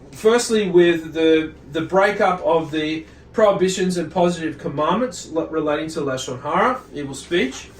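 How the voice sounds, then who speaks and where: A man giving an informal religious lesson, speaking in a halting, deliberate way and often correcting himself as he explains. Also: a little high, slight background noise. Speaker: religious teacher. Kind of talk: informal religious lecture, reading and explaining from a book. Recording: roomy echo, distant microphone.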